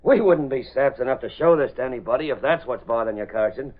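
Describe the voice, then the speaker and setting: An actor playing an uneducated small-time crook with slangy tough talk in an old radio drama. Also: male voice, mid-range voice, lively intonation, fast talker, close to an everyday voice. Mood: tough, wary. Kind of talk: 1930s-40s radio drama acting. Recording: hissy, narrow old radio recording.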